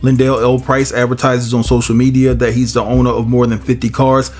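A man's voice: mid-range voice, little pitch movement, a little fast, darkish tone, soft background music. A man hosting a commentary channel, nasal from a head cold, reading a court filing aloud and then giving his casual opinion to viewers. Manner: casual, addresses viewers as y'all and guys.

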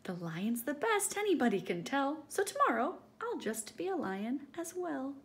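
A woman's voice: high voice, sing-song, moderate pace, slight background noise, voice pushed up. A woman voicing an eager, boastful male emu in a storybook, with an exclamatory, emphatic delivery.

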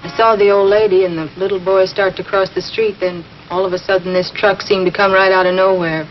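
A woman's voice: medium pitch, level tone, a little fast, lightly acted. Woman playing an earnest young eyewitness, vintage film sound. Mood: earnest, shaken. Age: young adult. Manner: earnest, hesitant, concerned. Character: earnest, shaken young female cashier witnessing a crime. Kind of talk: 1950s television drama acting. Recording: dull, faintly noisy 1950s film soundtrack.

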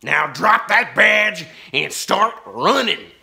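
A man's voice: high-pitched, very animated, creaky, pitched above the performer's own voice, heavily acted. A man voicing a fearsome male Wild West outlaw in a storybook reading, snarling and drawn out. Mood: menacing. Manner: slow, shouted, snarling delivery. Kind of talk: storybook read-aloud.

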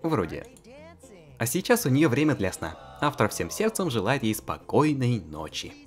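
A man's voice: medium pitch, sing-song, normal speed. A man narrating a fan-voiced visual novel in a joking, mocking way, full of crude slang and frequent laughter.

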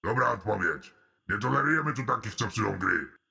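A man voicing a sleazy, menacing male prison inmate in an animated comedy, with a deadpan, mock-friendly manner. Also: fairly low pitch, brisk, vocal fry, slightly rough, full character voice.

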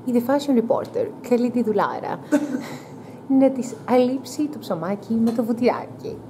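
A woman's voice: on the high side, animated. Chatty young woman, noisy background. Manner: chatty, playful. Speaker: vlogger. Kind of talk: casual travel vlog chat.

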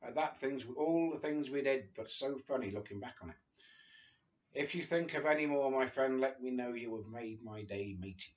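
English bloke in his 50s reading stories out loud, sounds tickled, dull call audio. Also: mid-range voice, moderate pace, slight background noise. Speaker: guest reader. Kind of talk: informal reading aloud of a memoir. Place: small living room, seated on a sofa.

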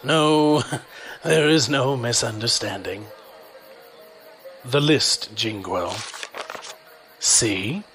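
An actor playing a wealthy, coolly menacing creditor, formal and measured, in an audio drama. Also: male voice, mid-range voice, music in the background. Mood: smug menace. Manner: formal, measured, proverb-quoting. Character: wealthy, punctilious crime-boss creditor, man, coolly menacing.

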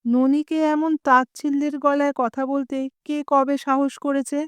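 Lady reading a comic story, bit high pitched, acts out the quotes a little. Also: a little slow, dry recording. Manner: expressive, lightly acts the quoted lines.

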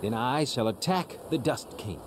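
A man's voice: medium pitch, animated, normal speed, noisy background, close to an everyday voice. A man playing a bold young gang boss who declaims like a grandiose fantasy warlord in a video game. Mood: defiant, grandiose. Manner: theatrical mock-medieval declaiming. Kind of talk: video game role-play acting.

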